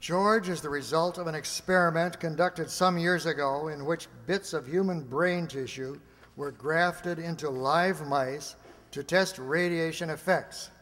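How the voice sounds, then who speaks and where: An actor playing a persuasive laboratory security chief, deliberate and emphatic, in a radio play. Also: male voice, on the high side, a bit bright. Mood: formal, earnest. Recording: echoey hall with long reverb.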